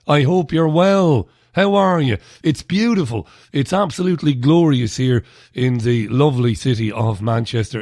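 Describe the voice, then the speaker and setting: A man's voice: medium pitch, vocal fry, darkish tone, no room sound, studio quality. A man in his early forties hosting a live radio show, chatty and blunt, sounding a little throaty today. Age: 40s. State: slightly throaty. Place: radio studio.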